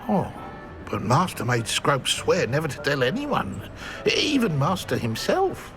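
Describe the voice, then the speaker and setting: An actor playing an anxious, servile male house elf in a video game, gravelly and hesitant. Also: a little high, wildly swooping pitch, a little slow, over music. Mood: nervous, deferential. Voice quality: creaky, gravelly. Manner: hesitant, speaks of himself in third person. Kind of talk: video game character acting.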